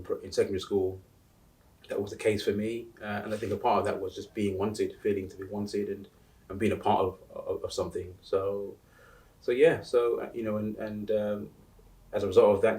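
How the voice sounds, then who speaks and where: A man in his forties from Uganda, answering interview questions in a halting way, with many restarts and fillers. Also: fairly low pitch, a little mellow, distant mic, low-quality recording. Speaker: interview guest. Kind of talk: casual interview talk.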